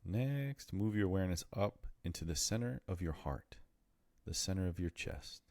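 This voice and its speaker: Meditation guide, male: very deep and mellow, soothing and deliberate in delivery, unhurried pauses between phrases. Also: expressive, dry recording, clean studio sound.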